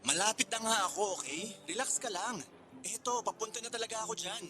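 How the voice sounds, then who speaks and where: An actor as a man running late for a date, talking quickly in a bright voice. He is placating and mildly exasperated, in radio drama style with faint music. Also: sits high. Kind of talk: Tagalog radio drama acting.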